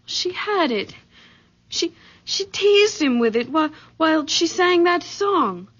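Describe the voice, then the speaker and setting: A high, earnest voice on a dull vintage radio recording belongs to an uneasy young woman. She speaks anxiously and reluctantly, in old-time mystery drama style. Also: big pitch swings, slow pace, a bit bright, full character voice.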